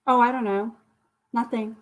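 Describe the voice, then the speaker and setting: A woman co-hosts a live talk program and teases her partner with playful sarcasm. Her voice sits fairly high and sounds a little distant from the mic. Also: fairly even intonation, normal speed. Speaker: radio program co-host. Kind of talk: casual live talk show chat.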